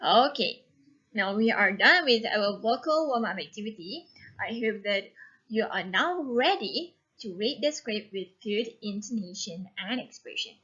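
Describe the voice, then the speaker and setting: A woman teacher leading a reading class, speaking step by step to pupils with expressive instruction. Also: medium pitch, measured pace, husky. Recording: dull lo-fi recording.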